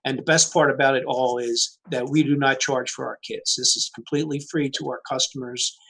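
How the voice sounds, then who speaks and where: A man's voice: medium pitch, steady tone, a little fast, gravelly, fairly bright tone, poor audio. Plain-spoken man, service manager. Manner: plain, with many "uh" fillers. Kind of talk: webinar presentation.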